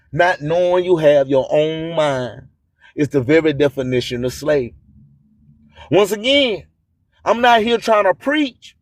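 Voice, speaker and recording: A man with a high-pitched voice hosts a radio show in a declamatory spoken-word style. He takes his time, repeating phrases for emphasis. The sound is close and dry. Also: dry recording. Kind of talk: live radio monologue with rhymed spoken-word delivery.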